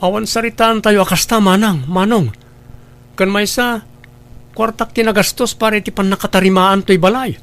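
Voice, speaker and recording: An actor playing an obliging younger brother in an Ilocano radio drama. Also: male voice, sits high, moderate pace, fairly bright tone. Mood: upset. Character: obliging younger brother working away from home.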